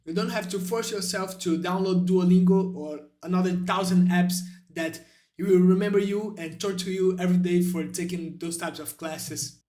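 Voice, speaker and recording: High-voiced Brazilian man, halting vlog talk. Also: darkish tone, roomy sound. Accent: Brazilian Portuguese. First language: Brazilian Portuguese. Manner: halting, searching for words. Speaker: YouTuber. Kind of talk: spontaneous talking-head vlog.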